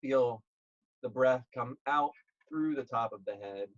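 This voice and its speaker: Calm male yoga instructor, dull recording. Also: medium pitch. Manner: gentle, calm, measured short phrases with pauses. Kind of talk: guided yoga class instruction.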